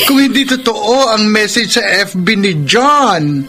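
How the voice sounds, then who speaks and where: An actor playing a concerned, cautious young best friend who stammers hesitantly, in a Filipino radio drama with a Filipino accent. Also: male voice, high voice, slow pace. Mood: cautious, soothing. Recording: loud music under the voice.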